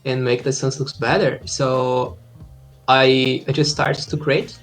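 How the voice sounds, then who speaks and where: A man's voice: mid-range voice, flat delivery, slow pace. Brazil-born man, thirties, on a laptop call with faint music behind, easygoing and hesitant. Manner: hesitant, many fillers, easygoing. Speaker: indie game developer guest. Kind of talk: casual interview chat. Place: home room, indoors. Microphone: laptop video call.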